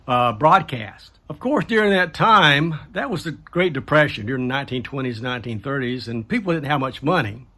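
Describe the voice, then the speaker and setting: A man's voice: on the high side, fairly bright tone. A man hosting a do-it-yourself build series, explaining things casually in a folksy, plain-spoken way with colloquial grammar.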